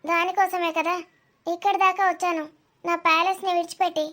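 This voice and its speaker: A proud middle-aged auntie, acted by a woman, with an extremely high, almost childlike pitch. She questions everything warily, keeping her wording polite but indignant, as in a family cartoon drama. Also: flat delivery, fairly quick, bright, forceful tone. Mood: disdainful.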